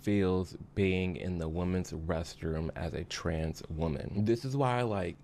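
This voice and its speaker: Man, lowish voice, earnest opinion commentator, light background noise. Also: unhurried, gravelly, warm tone. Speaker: commentator in a played video.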